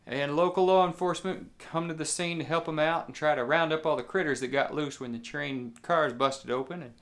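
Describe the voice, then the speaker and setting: A man from southeast Indiana spins a tale in a rural southern Indiana accent. His voice sits a little high for a man. He talks in folksy, colloquial phrases and lets pauses fall between them. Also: a bit bright. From southeast Indiana, United States. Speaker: musician and storyteller. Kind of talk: folksy storytelling to camera.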